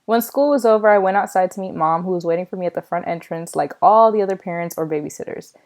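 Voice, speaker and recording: A woman reading a children's novel aloud in a storytelling style, with expressive delivery. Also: fairly low pitch, fast. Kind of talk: read-aloud storytelling with acted dialogue.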